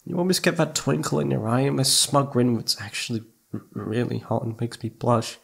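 A man's voice: medium pitch, fairly even intonation, a little fast, gravelly, husky. A man playing a needy, playful young boyfriend in intimate ASMR roleplay, pouty and sweet, stammering when flustered.

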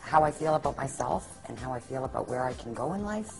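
Woman, middle-aged, very low voice, emphatic, clear music behind. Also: expressive, fast, low-quality recording. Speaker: former nurse giving testimonial. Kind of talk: testimonial to camera. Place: indoors, high-rise room with window view.

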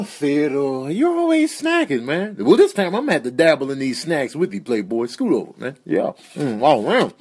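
A man voicing a sly predatory cat who feigns friendliness, in a comic animal voice-over. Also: darkish tone. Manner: swings from creepy low purr to hasty backtracking.

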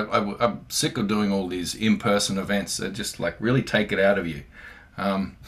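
Joking Australian man hosting a video call. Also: fairly low pitch. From far north Queensland, Australia. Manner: playful, joking, relaxed. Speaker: host. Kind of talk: casual video call chat. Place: home room.

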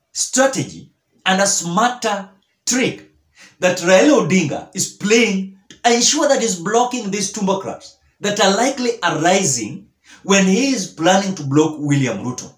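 Animated high-pitched young Kenyan man. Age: young adult. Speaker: political commentary vlogger. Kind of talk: direct-to-camera news commentary. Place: small bare-walled room indoors. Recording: echoey small room.

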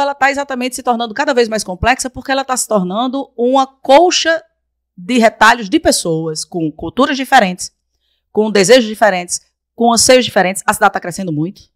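A woman in her forties from Paraíba, Brazil, a guest on a studio podcast, talking emphatically into a desk boom mic and repeating phrases for effect. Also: animated, moderate pace, no room sound. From Brazil, raised in Paraíba. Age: 40s. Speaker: guest, council candidate. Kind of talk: podcast interview. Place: small podcast studio with blue-lit backdrop. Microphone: desk mic on boom arm.

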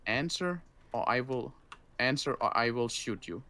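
Man acting a wary survivor, swinging pitch. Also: expressive, measured pace, sharp tone, slight background noise. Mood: threatening. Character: weary, gruff, wary male zombie-apocalypse survivor with a gun. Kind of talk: improvised Minecraft roleplay.

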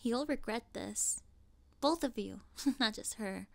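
Woman playing a snobby, high-voiced mean cheerleader. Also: slow pace, creaky, fairly bright tone, no echo, heavily acted. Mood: outraged, contemptuous. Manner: scornful, sarcastic, laughing derisively. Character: snobby mean popular teenage cheerleader girl, spoiled and catty. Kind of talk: ASMR roleplay acting.

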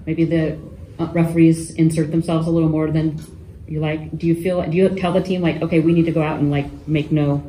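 A male reporter asks his questions hesitantly, in a higher, mellow voice. His tone barely moves. The room gives an echo, with faint noise behind. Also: flat delivery, fairly quick. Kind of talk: press conference questions. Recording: echoey room.

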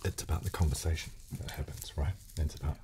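British male ASMR host, extremely low hushed voice, gentle explainer, crackly plastic noise on mic. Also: steady tone, fast talker. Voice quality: soft, hushed. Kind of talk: soft-spoken ASMR chat. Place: small decorated room, indoors. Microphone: close studio condenser microphone on table.

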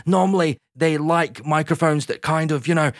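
Scottish man with a dark, boomy voice. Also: normal speed, dry recording, studio quality. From Scotland. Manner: chatty, lots of fillers, talks with his hands. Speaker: YouTube audio educator. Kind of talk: casual explainer talk to camera. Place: small home studio, indoors. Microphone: large dynamic mic close in front.

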